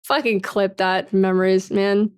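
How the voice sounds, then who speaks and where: A young woman streamer talks things over with her viewers in a loose, frank way. She swears freely, laughs often, and leans on "like" and "man". Her voice sits at an ordinary pitch and sounds dry, with no room echo. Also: steady tone, measured pace, clean tone. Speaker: livestreamer. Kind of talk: casual chat, reading and answering viewer comments.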